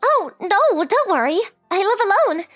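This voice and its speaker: A woman's voice: very high voice, big pitch swings, measured pace, a bit bright, soft background music, exaggerated voice. A woman voicing a sweet, polite rich teenage girl who is coy and forward, in a romantic comedy comic dub. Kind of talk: anime-style comic dub dialogue.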